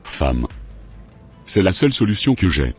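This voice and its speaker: Man acting as sinister cartoon spiritual master, low husky voice, commanding, deliberate, music underneath. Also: very animated, exaggerated voice. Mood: menacing. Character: menacing, domineering male spiritual master, a sinister occult healer.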